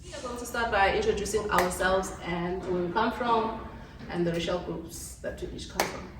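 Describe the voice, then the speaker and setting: A woman's voice: medium pitch, normal speed. A woman hosting a casual group chat, putting friendly questions to her guests. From South Africa. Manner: friendly, steers the talk with questions. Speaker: vlog host. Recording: roomy, distant mic, faint noise.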